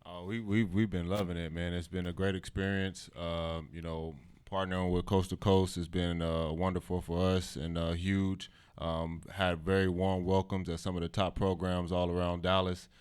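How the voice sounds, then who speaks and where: Very deep, flat-voiced coach. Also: male voice, clear, resonant tone. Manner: halting, many fillers. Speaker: football coach. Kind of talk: casual interview answers.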